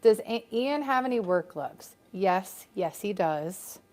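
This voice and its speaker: A woman's voice: medium pitch, animated, slow pace, darkish tone. An American woman co-hosting a casual live stream, chatting upbeat and reading out viewer comments.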